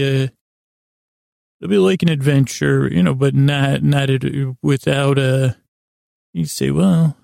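A man hosting a podcast in a rambling bedtime monologue, lulling and deliberately dull, halting and repeating himself as he drifts between half-finished thoughts. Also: mid-range voice, creaky, a little mellow, dry recording, clean studio sound. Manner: meandering, halting, repeats and trails off mid-thought. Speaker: podcast host.

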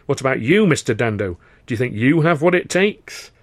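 A man's voice: mid-range voice, sing-song, brisk, dry recording, voice pushed up. A man voicing a pompous, scornful boss of a comedy company in an audiobook, talking in emphatic colloquial bursts. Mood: brisk, challenging. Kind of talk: audiobook character voice.

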